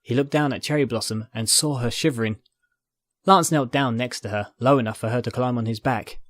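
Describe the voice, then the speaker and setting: A man's voice: medium pitch, a little fast, fairly bright tone. A man reading a story aloud as a scripted, dramatic narration. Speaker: narrator, fan fiction reader.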